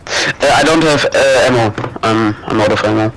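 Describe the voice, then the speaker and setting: A man's voice: mid-range voice, moderate pace, background noise. A man with a German accent, chatting casually over game voice chat and patiently spelling out words between many fillers. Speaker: gamer teammate. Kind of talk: casual voice chat while gaming.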